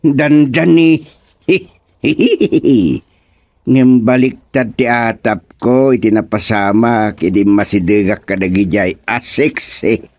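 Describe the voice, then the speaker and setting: A man playing a vain, chuckling old father in an Ilocano radio comedy, with an exclaiming, drawn-out delivery. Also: medium pitch, a little mellow, no room sound. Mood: rueful, amused.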